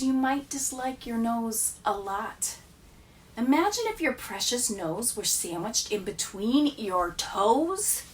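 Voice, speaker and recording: A woman teacher reading children's poems aloud with a deliberate, expressive storytelling delivery. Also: on the high side, recorded from a distance.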